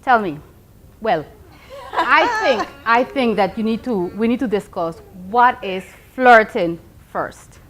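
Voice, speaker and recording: A woman presenting the discussion topic on a talk panel, animated and quizzing the others. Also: a little high, takes it slowly. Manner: animated, asks the panel questions. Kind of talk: casual panel chat.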